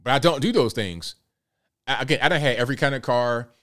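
A man in his late thirties hosting a casual livestream, talking in a relaxed way and reading out viewer comments. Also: mid-range voice, fast. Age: late 30s. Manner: laid-back, conversational, repeats himself. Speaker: livestream host.

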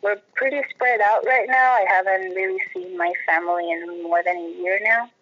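Bright-voiced Puerto Rican woman, slightly lo-fi. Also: medium pitch, animated, moderate pace, slight background noise. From Puerto Rico. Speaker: interview guest. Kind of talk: conversational personal storytelling.

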